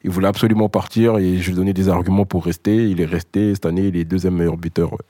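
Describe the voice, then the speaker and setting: A man's voice: sits low, steady tone, fast, warm tone, no room sound, clean studio sound. A young man from Congo, a rapper, answering interview questions in a laid-back, rambling way. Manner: laid-back, filler-heavy. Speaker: rapper guest.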